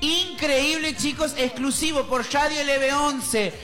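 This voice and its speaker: A woman hosting a radio stream, effusive and excitable, gushing over her guest. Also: sits high, unhurried. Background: faint music.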